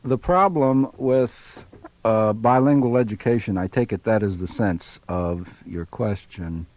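A male linguistics professor, a guest on a radio show, who answers in a measured, thoughtful way with pauses. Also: fairly low pitch. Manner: measured, thoughtful, hesitant with fillers. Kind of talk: radio interview. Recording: dull 1990s radio broadcast.

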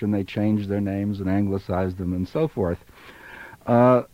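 A male linguistics professor, a guest on a radio show, who answers in a measured, thoughtful way with pauses. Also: fairly low pitch, dry recording. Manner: measured, thoughtful, hesitant with fillers. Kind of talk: radio interview. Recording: dull 1990s radio broadcast.

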